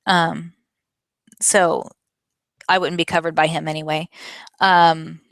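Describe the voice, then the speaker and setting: A woman in her forties with a notably low, very clear and smooth voice. She talks casually and candidly, explaining her answers to viewers and correcting herself along the way. The sound is close and dry. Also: flat delivery, takes it slowly, fairly bright tone, no room sound. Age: 40s. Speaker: vlogger answering viewer questions. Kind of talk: casual question-and-answer talk.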